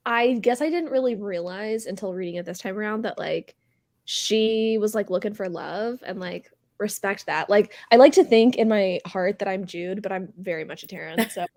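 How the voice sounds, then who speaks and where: A woman's voice: mid-range voice, moderate pace, darkish tone, no echo. A woman talks casually as a book show co-host. She sounds candid and reflective, and her voice is recorded dry, with very little room around it.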